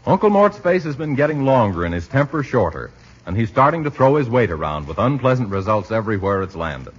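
A man's voice: mid-range voice, very animated, fairly quick, slight background noise. A man announcing in 1940s radio style, with polished, emphatic salesmanship. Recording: muffled 1940s radio recording, husky sound.

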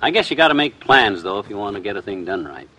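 An actor playing a folksy, easygoing husband in an old-time radio sponsor skit. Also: male voice, on the low side, sing-song, quick pace, sharp tone, full character voice, rough recording. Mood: folksy, sincere. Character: folksy, easygoing husband pitching life insurance.

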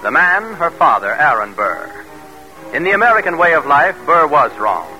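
A man's voice: a little high, bright, forceful tone, rough recording. A man announcing and narrating a radio drama in formal, declamatory 1940s style. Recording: vintage radio broadcast.

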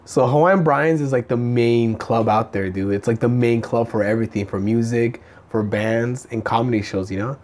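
A man speaks in an easy, conversational style at a middling pitch. A slight lisp colors his words. His talk is loose and slangy, like a comedian chatting with a buddy. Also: normal speed, few pauses, darkish tone. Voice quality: slight lisp from a bitten tongue. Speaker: stand-up comedian. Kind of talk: casual chat.

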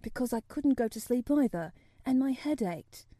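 A sickly young boy from a storybook, played by a woman, plaintive and imperious at once. The voice is soft-edged and mellow with an anxious, demanding manner, recorded dry and close. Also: on the high side, animated, moderate pace, no room sound. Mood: fretful.

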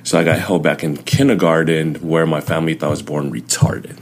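Young male guest, very deep voice, halting storyteller, faint hum in the room. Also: little pitch movement, darkish tone. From Southern California. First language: Chinese, Vietnamese. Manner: plain, halting storytelling with many fillers. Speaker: guest, CrossFit coach. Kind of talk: personal life story in an interview.

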